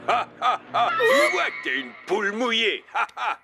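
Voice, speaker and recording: Gravelly, taunting cartoon tough-guy bully. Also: mid-range voice, wildly swooping pitch, normal speed. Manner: taunting, loud. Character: mocking, blustering tough-guy bully on television. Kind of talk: French children's cartoon dubbing. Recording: loud music behind the voice. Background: cartoon music.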